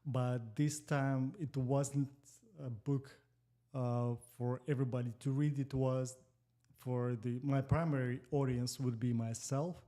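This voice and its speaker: Slow, mellow Moldovan man. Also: medium pitch, fairly even intonation. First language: Russian. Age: 40s. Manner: slow, careful phrasing with pauses. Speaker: guest. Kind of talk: casual interview.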